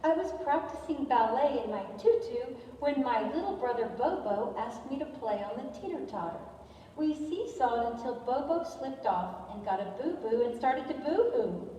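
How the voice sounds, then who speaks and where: A woman's voice: high-pitched, lively intonation, moderate pace, dark tone. A woman playing an earnest, chatty little schoolgirl in a readers theater show. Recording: distant, echoey hall with faint noise.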